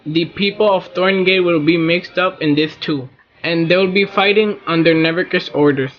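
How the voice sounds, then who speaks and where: A man's voice: high-pitched, moderate pace, dull audio, a little hiss or hum, close to an everyday voice. A man voicing a cautious, earnest inventor who warns his queen.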